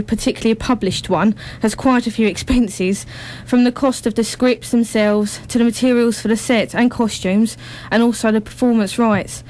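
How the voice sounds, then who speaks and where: A woman's voice: fairly high pitch, darkish tone. A woman giving informational announcements as a guest in a radio interview. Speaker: amateur drama group member being interviewed.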